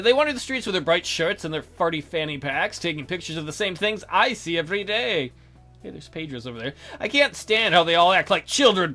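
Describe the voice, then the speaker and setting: A man reads a visual novel aloud for a let's play with theatrical, joking delivery. His voice is high-pitched and bright, with wide swings, over soft background music. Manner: theatrical, jokey.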